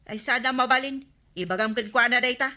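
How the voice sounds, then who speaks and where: A woman's voice: a little high, brisk, pushed and bright. A woman playing an exasperated, short-tempered village councilor who keeps a shop, scolding and complaining in a comedy radio drama. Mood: brisk.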